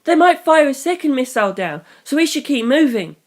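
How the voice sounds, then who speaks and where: A reader voicing an excitable, friendly teenage boy comrade in an action story. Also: high voice, fairly quick, pitched above the performer's own voice. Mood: urgent.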